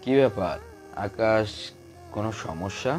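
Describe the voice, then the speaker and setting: A man's voice: on the low side, measured pace, a little mellow, soft background music, lightly acted. A man playing a familiar, reassuring associate of a mafia boss in an audio story. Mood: concerned, reassuring. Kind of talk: amateur Bengali audio-story acting.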